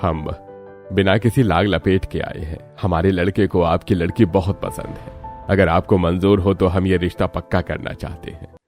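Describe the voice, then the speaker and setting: A genial older father, acted by a narrator man in a Hindi cartoon. He is plain-spoken, with a very low voice over soft music. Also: fairly even intonation, normal speed, natural voice. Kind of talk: Hindi cartoon story acting.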